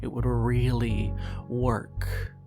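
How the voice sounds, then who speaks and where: A performer playing a wistful, brooding narrator who addresses a former lover in slow, poetic, deliberate horror-drama narration. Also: mid-range voice, flat delivery, music in the background. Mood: ominous, measured. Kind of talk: scripted second-person horror audio drama narration.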